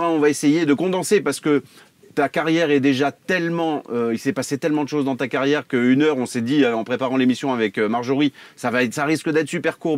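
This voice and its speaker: A man's voice: a little high, no echo. A man in his forties, a studio host introducing a guest in an upbeat way, wearing a lapel mic. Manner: upbeat introduction, reading a list. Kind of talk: studio presenting. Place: dark television studio.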